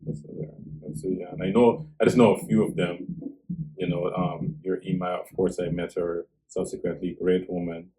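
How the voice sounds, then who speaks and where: A man with a very low, gravelly voice conducts a calm interview. His tone is dark and mellow, and he answers the guest with soft murmurs of agreement. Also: normal speed, poor audio. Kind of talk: relaxed interview questioning.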